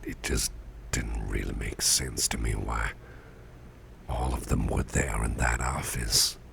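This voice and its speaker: An actor playing a sickly, gruff but genial boss in an ASMR roleplay, musing slowly and haltingly in a half-whisper close to the microphone. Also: male voice, steady tone, a bit bright, slight background noise, heavily acted. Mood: puzzled, musing. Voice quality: very deep, gravelly, breathy half-whisper. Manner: slow, halting, folksy musing with pauses.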